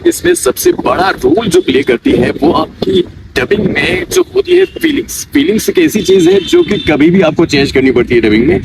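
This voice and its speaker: Higher-pitched young man, very noisy recording. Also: vocal fry. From Kishtwar, Jammu and Kashmir, India. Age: young adult. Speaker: interviewee, amateur dubbing and mimicry artist. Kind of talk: informal interview answers. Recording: noisy outdoor recording, distant mic, traffic.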